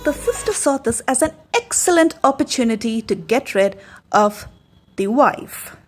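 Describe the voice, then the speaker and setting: A woman narrating a scripted folktale as a storyteller, in an expressive, dramatic manner. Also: on the high side, unhurried, breathy edge. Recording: music behind the narration.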